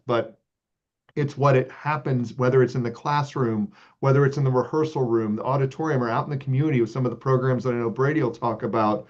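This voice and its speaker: A man of middle pitch talks through his answers in an earnest, reflective way and keeps filling pauses with ums and uhs. The audio is slightly muffled, with a lo-fi edge. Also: darkish tone. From Texas. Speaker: arts organization leader, guest. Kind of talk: relaxed interview answers.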